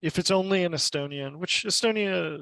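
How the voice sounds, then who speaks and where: A man's voice: sits high, a little fast, creaky, fairly bright tone, dry recording. A young man, a master's student, speaking modestly and tentatively in an academic discussion.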